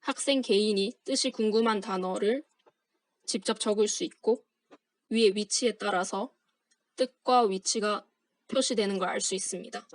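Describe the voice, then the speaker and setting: A woman's voice: mid-range voice, moderate pace, no echo, rough recording. Young woman reading a formal project presentation. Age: young adult. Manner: formal, steady, reading from a script. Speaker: university student presenter. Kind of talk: read-aloud project presentation.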